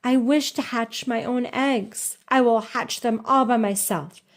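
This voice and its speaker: A woman's voice: a little high, fairly even intonation, unhurried, voice pushed up. A woman puts on an earnest female farm duck for a storybook. She quacks her words with simple, stubborn determination. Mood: determined.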